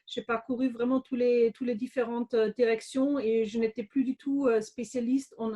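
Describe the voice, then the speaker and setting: A woman's voice: a little high, level tone, normal speed, warm tone. A middle-aged woman from Germany with a German accent, a guest answering interview questions with many hesitations and restarts. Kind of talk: conversational interview.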